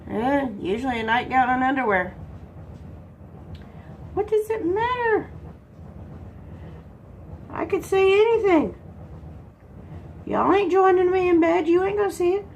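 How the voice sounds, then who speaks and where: A woman's voice: extremely high pitch, animated, measured pace, long pauses, a little mellow, lo-fi sound, slight background noise. A congested woman from Texas, chatting casually while she works on a craft and answering her own questions. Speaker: crafting vlogger.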